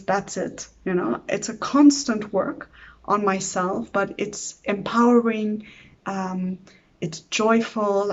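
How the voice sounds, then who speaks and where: A woman's voice: mid-range voice, lo-fi sound. A woman in her forties, born in Lebanon and raised in Greece, who practises EFT and talks earnestly about her own healing. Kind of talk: personal reflective talk to camera.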